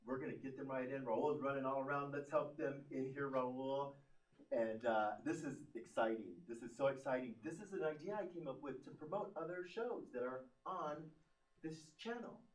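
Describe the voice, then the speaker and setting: A man's voice: medium pitch, normal speed. A man in his forties hosting a live TV studio show, gushing and enthusiastic with his guests. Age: 40s. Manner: enthusiastic, effusive. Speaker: host. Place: TV studio set. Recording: roomy studio from a distance.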